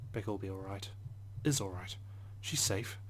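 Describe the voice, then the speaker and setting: A man reading visual novel narration aloud as a let's play host, subdued, with hushed asides. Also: lowish voice, moderate pace, a little hiss or hum. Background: soft guitar music.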